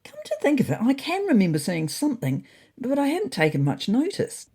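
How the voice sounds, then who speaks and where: A woman's voice: high-pitched, wildly swooping pitch, brisk, dark tone, breathy edge, pitched above the performer's own voice, exaggerated voice. A woman voicing a self-important, naively confident male orange cat reading out his diary in a scripted story. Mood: puzzled.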